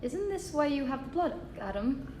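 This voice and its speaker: A woman's voice: high voice, big pitch swings, moderate pace, darkish tone, a little hiss or hum. A woman playing a coaxing, vengeful imaginary companion in a script read-through. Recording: echoey room.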